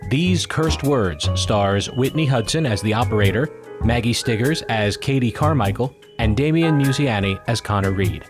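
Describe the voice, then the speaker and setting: Male credits announcer over clear music. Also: mid-range voice, expressive, normal speed. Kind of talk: scripted podcast announcement.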